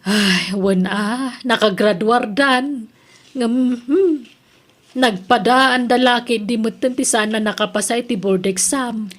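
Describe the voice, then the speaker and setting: A woman's voice: fairly high pitch, fairly even intonation, slow. An Ilocano-accented woman playing a chatty village mother in a radio drama.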